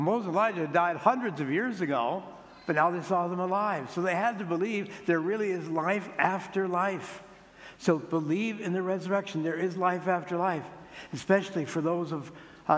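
An older male priest gives a conversational sermon in a large church, his fairly high voice ringing with a long reverb. He slows down and repeats key points for emphasis. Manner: plain, conversational preaching, with slow emphatic repetitions. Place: large church sanctuary, standing by the altar.

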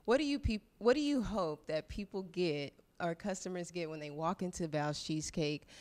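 A woman's voice: lowish voice, moderate pace, darkish tone. A woman in her thirties hosting a casual interview, encouraging her guest with frequent affirmations, speaking into a handheld microphone. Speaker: interviewer, host. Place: lounge studio with sofa.

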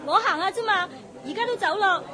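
A sulky teenage schoolgirl caught stealing whines and pleads in a very high, bright voice. She sounds put-upon and petulant, a classic radio drama kid. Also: fairly quick, some chatter behind. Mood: indignant. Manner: whiny, pleading. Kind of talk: 1980s radio drama acting.

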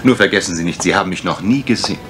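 An actor playing a suave, self-assured, jovial private detective in a noisy old radio play recording. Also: male voice, deep voice, rapid-fire, fairly bright tone, faint music behind. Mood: breezy, reassuring. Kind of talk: German radio crime drama.

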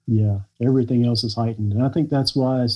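Earnest American man, mellow voice. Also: medium pitch, steady tone, brisk. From United States. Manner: earnest, hesitant with many fillers and restarts. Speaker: guest, children's book author. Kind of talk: conversational interview. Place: small home room, indoors.

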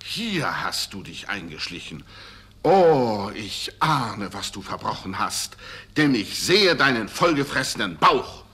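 A man's voice: lowish voice, sing-song, slow pace, sharp tone, breathy edge, exaggerated voice. An actor playing an indignant, good-hearted huntsman in a fairy-tale radio play, declaiming and exclaiming. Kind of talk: 1970s German fairy-tale record acting.

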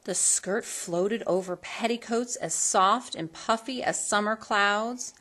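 A woman reading a children's storybook aloud in an expressive, theatrical manner that leans on colorful words. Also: medium pitch, slow pace. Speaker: storybook reader.

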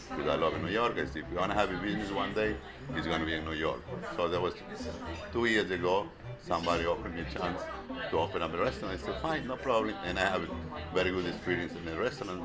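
A man in his fifties from Ecuador with an Ecuadorian Spanish accent, a restaurant owner casually telling his story in an interview. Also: deep voice. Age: 50s. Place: restaurant dining room. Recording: loud music behind the voice.

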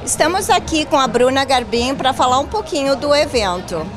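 A woman's voice: high voice, expressive, moderate pace. A woman reporter interviewing guests at a busy event venue with a handheld mic, upbeat and friendly. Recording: noisy venue with music and crowd.

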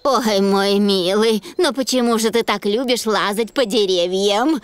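A woman voicing a kindly, gentle hamster vet who fusses over her pet in a children's cartoon. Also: medium pitch, measured pace, over music, close to an everyday voice. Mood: fond fussing.

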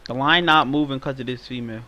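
A man's voice: mid-range voice, fast talker, bright, forceful tone, dull audio. A young man joking around playfully with friends on game voice chat. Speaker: gamer on voice chat. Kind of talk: casual gaming chat.

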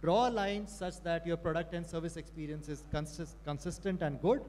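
A man's voice: fairly high pitch, a little fast, a little mellow. A man on a conference panel, speaking in a direct, practical way. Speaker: panelist, retail executive. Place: large conference hall stage. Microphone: handheld mic.

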